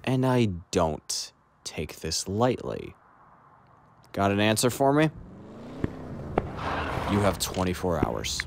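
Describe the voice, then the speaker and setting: A man acting a cold, calculating crime syndicate operative with a clipped, measured delivery in an audio drama. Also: deep voice, big pitch swings, creaky, reverberant, big-room sound, heavily acted. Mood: cold, businesslike.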